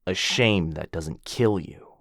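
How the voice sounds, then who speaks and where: A man's voice: low-pitched, takes it slowly, fairly bright tone, no room sound, clean studio sound, exaggerated voice. A man voicing a cocky, jealous werewolf who mocks his rival and teases with a lot of bounce. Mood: boastful. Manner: cocky, mocking, bouncy teasing. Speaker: voice actor in boyfriend roleplay. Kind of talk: ASMR roleplay acting.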